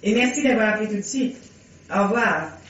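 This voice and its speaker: A woman's voice: medium pitch, a little fast, background noise, close to an everyday voice. An actress playing a self-assured, politely condescending woman novelist in a 1950s style French radio play. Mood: delighted. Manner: polished, courteous, gently condescending. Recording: dull, muffled vintage radio recording.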